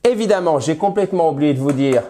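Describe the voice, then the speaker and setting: A man teaching English phrases in French, with a head cold, lively and friendly, slowing down to model the English. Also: a little high, a little hiss or hum. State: has a cold, slightly nasal voice. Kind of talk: bilingual language lesson at a whiteboard.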